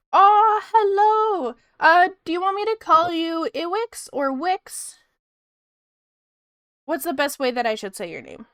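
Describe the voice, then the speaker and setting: A woman's voice: very high voice, lively intonation, takes it slowly, pauses often, fairly bright tone, dry recording. Female streamer, casual rambling chat, soothing voice, dry close-mic sound. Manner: rambling and chatty, laughs often. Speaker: art streamer.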